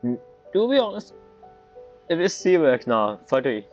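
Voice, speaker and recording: A man's voice: on the high side, big pitch swings. Casual male gamer, muffled sound. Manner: rambling, halting, non-idiomatic phrasing. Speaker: gaming streamer. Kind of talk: casual game playthrough commentary. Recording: muffled, game music behind.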